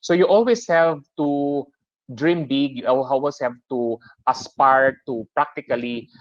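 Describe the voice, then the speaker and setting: A man's voice: medium pitch, unhurried, dry recording. A man with a Filipino accent giving a business webinar presentation, talking with many fillers and restarts. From Philippines. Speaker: webinar presenter for a super app. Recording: dry, slightly dull webinar audio.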